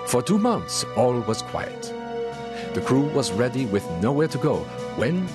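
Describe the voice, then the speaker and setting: A man's voice: medium pitch, very animated, unhurried, loud music behind the voice. A man playing an eager French professor who narrates his sea adventure in a formal, theatrical audiobook drama style. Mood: measured narration. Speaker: narrator and lead actor.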